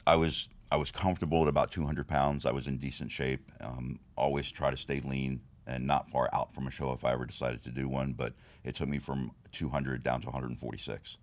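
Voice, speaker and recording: A man in his fifties with an extremely low voice that has a raspy edge. He tells his story steadily and frankly, with many small "you know"s. He speaks as a guest in a casual podcast interview. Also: little pitch movement, fairly quick, hardly any pauses. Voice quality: deep and raspy.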